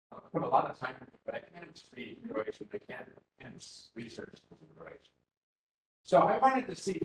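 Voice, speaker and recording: A man's voice: mid-range voice, a little hiss or hum. A man from Finland giving an academic workshop talk, hesitant, with many fillers and restarts. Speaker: academic speaker. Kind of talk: conference talk. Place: university seminar room. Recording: roomy hall with faint ambience.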